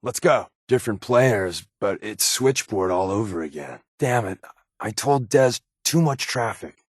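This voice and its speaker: An actor voicing a sardonic, wisecracking secret agent companion in a video game, with a dry, joking manner. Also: male voice, on the low side, lively intonation, unhurried, husky, no echo, heavily acted. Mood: conspiratorial, casual. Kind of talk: game voice acting.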